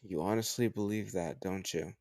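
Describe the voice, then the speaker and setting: A man playing a teasing, slightly older home tutor who gently chides the listener in a conversational, intimate roleplay audio drama. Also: low voice, normal speed, no echo, studio quality. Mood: gently chiding.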